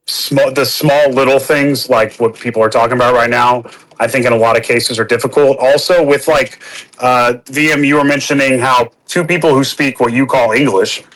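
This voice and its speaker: A man from Texas with a Southern accent, talking in a casual podcast conversation, halting and restarting often and swearing casually. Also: mid-range voice, fairly bright tone, rough recording. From Texas, United States. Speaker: podcast participant.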